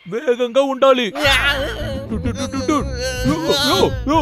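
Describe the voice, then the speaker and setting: A man voicing an excitable, boastful young bear in a dubbed children's cartoon, with theatrical exclamations. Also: very high voice, a little slow. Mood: energetic counting. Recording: loud music behind the voice.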